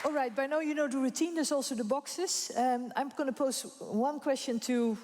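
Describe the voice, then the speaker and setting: A woman's voice: high voice, normal speed, darkish tone, a faint crowd. A woman moderating a conference panel, chatty and informal, laughing easily. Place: conference room with audience. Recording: reverberant conference hall.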